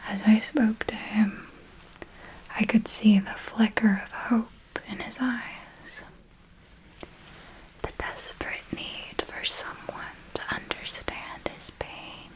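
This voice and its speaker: A woman voicing a seductive, predatory female vampire mentor in a breathy whispered ASMR roleplay, with lingering, deliberate phrasing. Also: a little high, rough recording.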